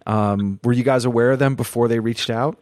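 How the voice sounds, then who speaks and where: A man hosting a podcast interview, speaking in measured, thoughtful turns and building long reflective questions. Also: mid-range voice, fairly even intonation, a little mellow, no room sound, studio quality. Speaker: podcast host. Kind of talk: casual interview chat.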